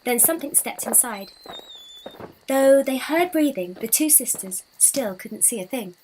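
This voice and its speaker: A woman reads a children's folktale aloud. Her voice is on the high side, and she tells it expressively, with deliberate, dramatic delivery. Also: lively intonation, darkish tone, a little hiss or hum, low-quality recording. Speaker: children's storybook reader. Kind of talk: expressive scripted storytelling.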